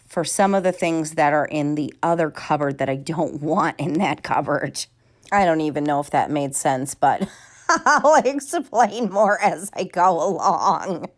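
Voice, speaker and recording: A woman, chatting casually in a home vlog, a little nasal and congested from a cold, bubbly and talkative. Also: low voice. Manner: bubbly and talkative, many fillers. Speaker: vlogger. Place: home kitchen.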